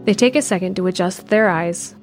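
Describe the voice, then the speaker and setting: A woman's voice: fairly low pitch, a little fast. A woman reading scripted narration for an audio drama. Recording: music behind the voice.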